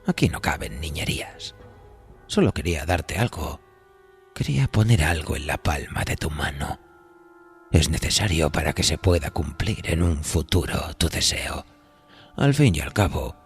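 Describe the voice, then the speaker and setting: Man acting a gravelly, whispery devilish conductor. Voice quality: low, gravelly, breathy near-whisper. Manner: unhurried, insinuating, amused, addresses the listener familiarly. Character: sly diabolical train conductor, a smooth devilish dealmaker. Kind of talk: audio horror drama acting. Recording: music behind the voice.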